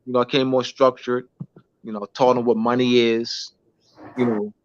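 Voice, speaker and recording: A man, a schoolteacher, talking in a casual interview, halting with many restarts and fillers. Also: mid-range voice, level tone, a little hiss or hum. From United States. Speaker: schoolteacher guest. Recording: dull lo-fi recording.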